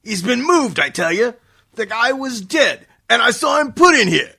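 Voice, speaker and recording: Very gravelly, gruff police detective, acted by a man. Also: very high voice, very animated, moderate pace, sharp tone, heavily acted. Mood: flustered, defensive. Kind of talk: old-time radio drama acting.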